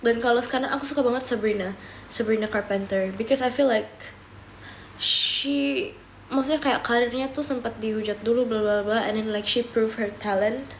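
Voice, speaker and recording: Young woman streamer, relaxed and chatty, drifts between languages while snacking, pitched a bit high. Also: normal speed, a little hiss or hum, rough recording. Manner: chatty, often switching into English mid-sentence; talks while eating and sipping a drink. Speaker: live streamer. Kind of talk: casual livestream chat answering viewer comments.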